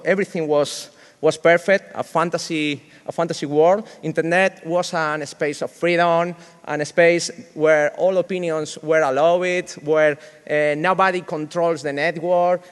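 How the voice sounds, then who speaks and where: A man from Spain with a Spanish accent, whose first language is Spanish, giving a humorous conference talk in a halting, joking way with many restarts. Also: fairly high pitch, fairly even intonation, clear, resonant tone. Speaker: conference speaker. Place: large conference hall. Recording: reverberant hall sound.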